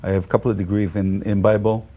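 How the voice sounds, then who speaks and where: A man from Israel whose Israeli accent is clear, and whose first language is Hebrew. His voice sits low and sounds mellow and dark. He talks in a halting way, with frequent fillers and restarts. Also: flat delivery. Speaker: guest, tour guide and Bible teacher. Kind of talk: casual conversation.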